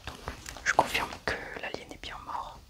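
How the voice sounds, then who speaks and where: A person whispering throughout in an ASMR medical roleplay, calmly and methodically narrating each step as an examiner. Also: normal speed. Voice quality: soft unvoiced whisper.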